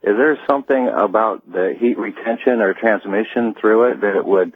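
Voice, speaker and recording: A man calling in to a radio show over a phone, asking questions hesitantly in a husky voice. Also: on the low side, moderate pace, crowd noise. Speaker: radio call-in listener. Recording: muffled, narrow phone line.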